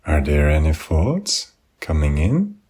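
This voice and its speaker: Male meditation guide, deep and husky, gentle spoken instruction with unhurried pauses. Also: wildly swooping pitch. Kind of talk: guided body scan meditation.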